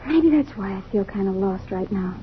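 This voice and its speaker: A woman's voice: medium pitch, expressive, moderate pace, close to an everyday voice. An actress playing a tender, earnest young American woman, a frightened survivor, in an old-time radio drama. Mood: fearful, wistful. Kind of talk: 1950s radio drama acting. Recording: dull, lo-fi old radio, faint noise.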